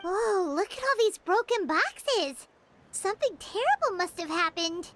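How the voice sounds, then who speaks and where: A woman voicing a small, chatty, excitable floating companion who refers to herself in the third person. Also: extremely high pitch, measured pace, slight background noise, full character voice. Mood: curious. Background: game music.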